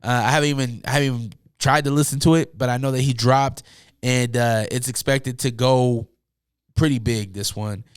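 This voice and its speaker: A young man with a hoarse, tired voice hosting a casual solo podcast, rambling loosely with many fillers and asides from a room at home. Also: medium pitch, fairly even intonation, normal speed, no room sound, studio quality. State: hoarse, losing his voice. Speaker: podcast host.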